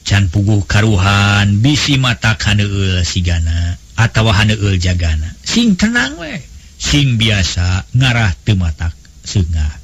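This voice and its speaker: Calm noble elder brother for a storybook tale, performed by a male narrator, Sundanese accent, low, husky, soothing counsel. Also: unhurried, exaggerated voice. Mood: calm, advising. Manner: soothing, advising.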